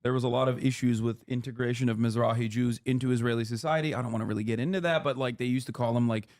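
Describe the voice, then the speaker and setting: A man in his thirties streaming live, explaining history in a rapid, lecturing way with many fillers and restarts. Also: mid-range voice, steady tone, a little mellow. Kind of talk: casual livestream explanation.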